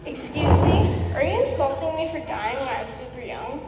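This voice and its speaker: A woman's voice: high-pitched, expressive, a little fast, dark tone. A young girl of about eleven playing a grouchy, self-important composer in a scripted comic school play. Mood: grouchy, indignant. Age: child, about 11 or 12. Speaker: student performer in readers theater. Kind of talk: scripted comic stage recitation. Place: school performance in a large echoey room. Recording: echoey hall, distant mic, faint noise.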